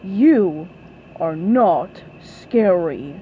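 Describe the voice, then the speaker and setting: A woman voicing an angry, menacing male cartoon ghost pirate in a booming, emphatic voice. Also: lively intonation, drawn-out words. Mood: scornful. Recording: dull lo-fi, faint background noise.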